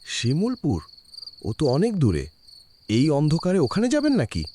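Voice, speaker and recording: Horror cartoon shopkeeper male actor: rural, guarded, foreboding, with an animated swing and faint crickets behind. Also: a little high, moderate pace, slight background noise. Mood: wary. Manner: ominous, cautioning. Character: wary village shopkeeper warning a stranger of a curse.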